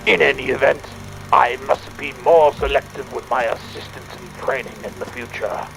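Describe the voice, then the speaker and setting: A man playing a fussy, excitable Victorian gentleman inventor, speaking a pompous, wordy audio diary in a theatrical drama. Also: medium pitch, normal speed, gravelly, over music, close to an everyday voice, rough recording. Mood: suspicious, indignant. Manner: pompous, wordy, hesitant musing.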